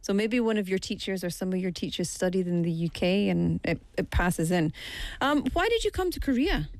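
A woman's voice: mid-range voice, expressive, a little fast, dry recording. A young woman from the UK hosting a studio talk show, upbeat and chatty. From United Kingdom. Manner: upbeat, chatty, self-deprecating. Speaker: radio show host. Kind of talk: casual interview chat.

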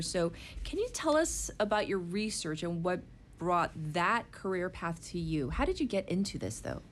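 A woman's voice: mid-range voice, a little hiss or hum. A woman moderating a panel talk, encouraging guests and giving frequent short replies.

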